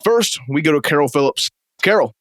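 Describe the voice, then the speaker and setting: A man's voice: a little high, expressive, brisk, fairly bright tone. An actor playing an upbeat male local news anchor in a radio drama newscast. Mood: upbeat, announcing. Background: music.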